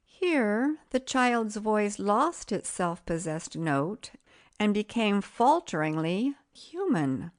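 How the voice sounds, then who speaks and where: A woman reading a literary story as an audiobook narrator, measured and expressive. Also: medium pitch.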